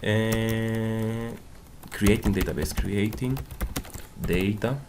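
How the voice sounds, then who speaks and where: Brief: a man, native Portuguese speaker, lowish voice, casual coding tutor, keyboard clicks under a faint hiss. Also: fairly even intonation, drawn-out words. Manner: casual, repeats "okay" and "boom" often; non-native English with small slips. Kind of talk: screen-recorded coding walkthrough.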